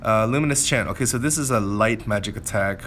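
Low-pitched man giving casual game commentary. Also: a little fast, a bit bright, a little hiss or hum. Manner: thinking aloud, explanatory. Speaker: gameplay commentator.

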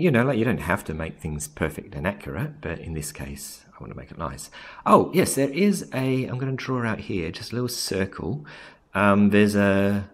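A man casually narrating a software screen recording, thinking aloud with frequent ums and self-corrections. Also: low voice. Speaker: software tutorial presenter.

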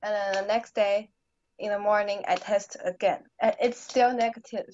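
Teen student, female; halting, simple phrasing, muffled laptop-mic sound. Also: mid-range voice, steady tone, a little mellow, slight background noise. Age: teens. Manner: halting, searching for words, simple grammar. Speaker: high school English student. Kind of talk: conversation practice in a lesson. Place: small indoor room at home. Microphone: laptop webcam on video call.

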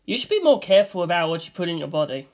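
A man's voice: sits high, very animated, racing, a little mellow. A preachy little mushroom man, read by a man. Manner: lecturing, over-formal wording. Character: prim, preachy male mushroom person.

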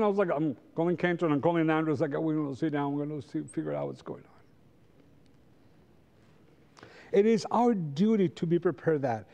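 A man's voice: high voice, dark tone. A middle-aged man with a Costa Rican accent and Spanish as his first language, teaching a church class in a conversational way with rhetorical questions. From Costa Rica. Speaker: Sabbath school teacher. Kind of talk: church lesson to a congregation. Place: large church sanctuary, standing before pews.

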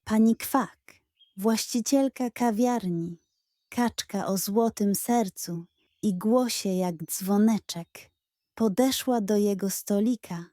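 A woman's voice: medium pitch, slow pace, warm tone, dry recording, clean studio sound. A woman narrating a scripted children's bedtime story as a storyteller.

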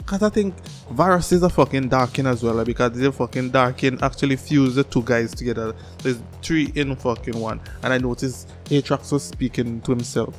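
A man in his thirties giving casual, slangy, profane reaction commentary as a video host, close on a desk microphone. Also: mid-range voice. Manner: slangy, profane, animated with hands. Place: small home room, indoors. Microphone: desk microphone on boom arm, close.

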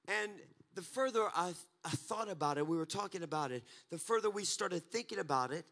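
A man, preaching a fervent sermon on a church stage into a handheld mic, building from talk to shouts. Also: sits high. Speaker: pastor. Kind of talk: energetic Pentecostal sermon. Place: church stage, mid-sized hall.

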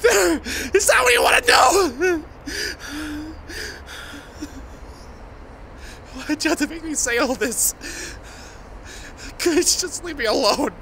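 A man playing a grieving famous musician in an audio drama, guilt-ridden, defensive and bitter, speaking in a halting confessional way. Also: very high voice, animated, gravelly, sharp tone, slight background noise, full character voice. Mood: tearful, anguished outburst. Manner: halting, confessional, sighing pauses. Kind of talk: dramatic audio roleplay monologue.

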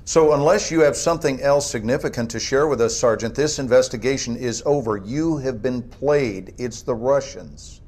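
A man's voice: mid-range voice, moderate pace, few pauses, a little mellow, natural voice. An American man playing a stern, dismissive government interrogator in a game cutscene. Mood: accusing, stern. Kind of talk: dramatic game cutscene acting.

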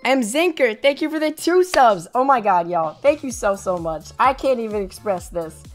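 A young woman streaming from a small bedroom, her voice hoarse and a little rough today. She talks casually into a headset with a bubbly, effusive warmth, pitched somewhat high, and soft music runs faintly behind her. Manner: bubbly, effusive, quick swings to excited squeals. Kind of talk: casual live-stream chat thanking viewers.

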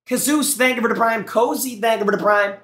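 A man's voice: extremely high pitch, brisk, fairly bright tone, echoey room. A young man streaming on a headset mic in a small room, reacting to gameplay clips with teasing jokes and thanking his chat. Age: young adult. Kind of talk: casual live-stream commentary.

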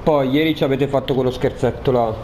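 A man's voice: mid-range voice, fairly even intonation, quick pace. Guy in a gaming roleplay chat arguing, bit of background noise. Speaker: player in a GTA roleplay session. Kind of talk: casual in-game roleplay argument.

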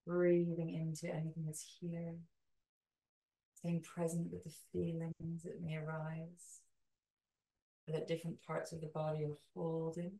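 A woman leading a guided meditation in a soothing, calming way, with slow, deliberate phrases and long pauses. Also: lowish voice. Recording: roomy echo, distant from mic.

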